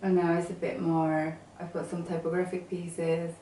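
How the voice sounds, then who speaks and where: Soft-spoken woman designer in an echoey room. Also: fairly low pitch, little pitch movement, moderate pace. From England and Venezuela. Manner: hesitant, with many fillers and restarts. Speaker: guest, graphic designer. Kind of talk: casual interview answers. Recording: echoey room, mic at a distance, faint noise.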